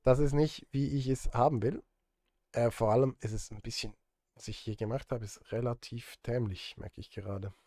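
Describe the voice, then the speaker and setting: A man's voice: mid-range voice, fairly even intonation, moderate pace, dark tone, dry recording. Dry, close-miked man. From Switzerland. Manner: self-deprecating, joking asides. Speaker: streamer and film composer. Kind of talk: casual live-stream chat while composing. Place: indoor streaming setup.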